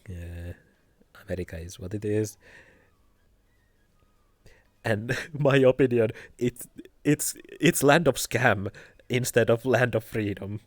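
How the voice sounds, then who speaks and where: A young man with a Finnish accent chatting casually on a live stream, soft-spoken and giggly, hesitating often. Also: fairly low pitch, no echo, studio quality. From Finland.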